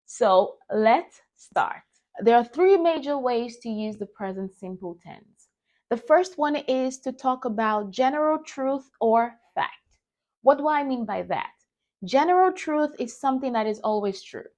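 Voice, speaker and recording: Higher-voiced woman teaching English slowly. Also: expressive, a little mellow. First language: Yoruba. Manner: slow, careful, reads example sentences deliberately. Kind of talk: beginner grammar lesson.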